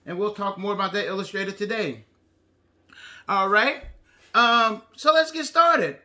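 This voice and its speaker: A man leads young children through a counting lesson with an encouraging, animated delivery. His voice is strikingly high and bright, and he takes his time with short, repeated phrases. The sound is roomy and echoing. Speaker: elementary teacher and lesson host.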